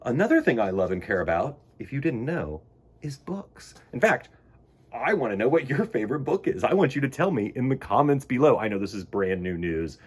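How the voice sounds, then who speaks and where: Man, children's storytime host, very animated intonation, slightly husky, theatrical, earnest, direct address to young viewers. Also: mid-range voice, a little fast. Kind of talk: expressive read-aloud and talk to young viewers.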